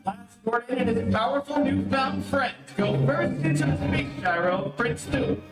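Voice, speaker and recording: A man's voice: extremely high pitch, expressive, normal speed, over loud music. A man reading scripted narration for an old-time radio adventure serial. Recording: echoey, distant streamed stage audio.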